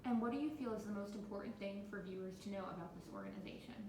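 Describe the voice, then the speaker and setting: A woman with a quick pace works as interviewer and coach, sounding encouraging and informal. Her voice is roomy and echoing, with clear noise and rumble on a phone recording. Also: very animated.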